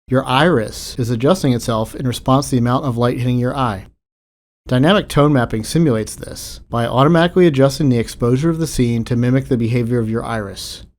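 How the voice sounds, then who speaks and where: A man's voice: mid-range voice, darkish tone. A man delivering scripted technical commentary as a game developer.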